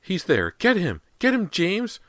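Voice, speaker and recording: A man's voice: medium pitch, huge pitch swings, normal speed, dry recording, clean studio sound, pitched above the performer's own voice. A man voicing a terrified mother who shrieks and cries out frantically. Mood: panicked shrieking.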